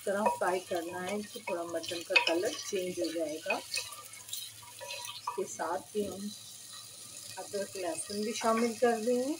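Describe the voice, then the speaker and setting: Female cooking host, middle pitch, calm step-by-step instructions, noisy kitchen recording. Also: slow pace, long pauses. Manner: plain instructional, addresses viewer directly. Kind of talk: step-by-step recipe voice-over.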